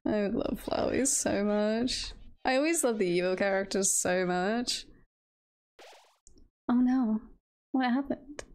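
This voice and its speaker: A woman's voice: medium pitch, takes it slowly, pauses often, darkish tone. A giggly woman streamer chatting casually while she plays a game. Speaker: VTuber streamer.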